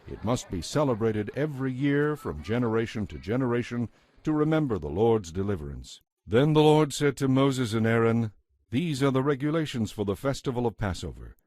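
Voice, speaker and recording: A man narrating a dramatized Bible reading in a measured, solemn, scripted manner. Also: medium pitch, sing-song, a little mellow, no echo.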